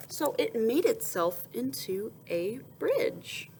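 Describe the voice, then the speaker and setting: Lady teacher doing a kids read-aloud, slow and expressive, some background noise. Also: fairly high pitch, gravelly. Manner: expressive storytelling, pausing to ask questions and answer softly. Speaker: teacher reading a picture book aloud to young children. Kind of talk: children's read-aloud with asides and questions.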